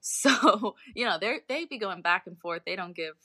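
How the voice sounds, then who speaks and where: A woman's voice: medium pitch, lively intonation, moderate pace, pushed and bright, dry recording, studio quality. A young adult woman talking informally as an interview guest, explaining her research and qualifying points as she goes.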